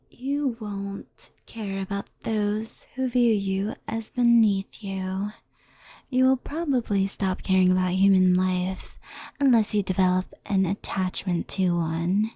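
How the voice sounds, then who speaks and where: A woman playing a gentle, mischievous fox spirit in an ASMR roleplay, speaking softly and intimately, often in a whisper, like a reassuring mentor. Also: medium pitch, breathy edge, no room sound.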